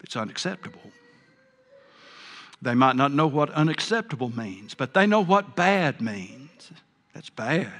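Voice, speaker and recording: A man's voice: mid-range voice, animated, a little slow, long pauses, a bit bright. An older man preaching a sermon, earnest and folksy, with a big voice. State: a bit hard of hearing. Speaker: pastor.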